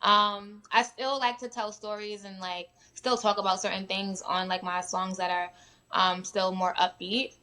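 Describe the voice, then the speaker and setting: Bright-voiced young woman from New York. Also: medium pitch, unhurried. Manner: relaxed, many fillers like "um" and "like". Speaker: guest musician. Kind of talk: casual interview chat. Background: sirens at times.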